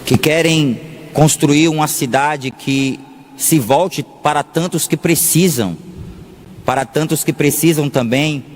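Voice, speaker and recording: Slow-paced Brazilian priest in an echoing hall. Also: male voice, medium pitch, a little hiss or hum. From Salvador, Bahia, Brazil. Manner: deliberate, pausing often, repeats phrases for emphasis. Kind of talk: sermon. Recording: long echoing reverb of a large hall.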